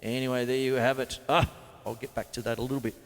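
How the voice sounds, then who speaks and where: A man's voice: mid-range voice, little pitch movement, fast, long reverb tail. A man hosting a casual drum lesson to camera, chatty and rambling with jokey asides. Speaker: drum teacher and show host. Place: home studio with drum kit.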